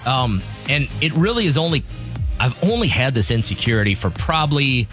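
A man's voice: medium pitch, lively intonation, brisk, fairly bright tone, soft background music. A man co-hosting a morning radio show, chatting casually and teasing his co-host. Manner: teasing, dry.

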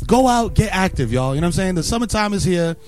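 A middle-aged man with a New York accent hosting a morning radio show segment, talking casually with slang and quick laughs. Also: high-pitched, faint music behind. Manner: animated hype-man delivery with slang and laughs.

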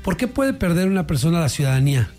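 A man's voice: a little high, big pitch swings, fast, dark tone. A man with a Mexican accent hosting a radio talk show, chatting casually about the news with co-hosts.

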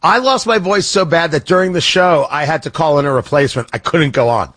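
A man's voice: fairly high pitch, fairly quick, fairly bright tone, no echo, studio quality. A man hosting a morning radio show, talking in a joking, teasing, chatty way. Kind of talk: casual morning radio banter.

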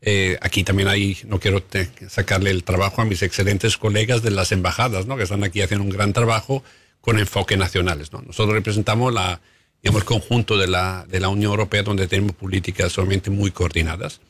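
A man speaking as a diplomat guest on a radio show, measured and careful, hesitating often, Italian his first language, on a studio microphone. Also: deep voice, steady tone, a bit bright, breathy edge, no echo. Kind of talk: radio interview answers.

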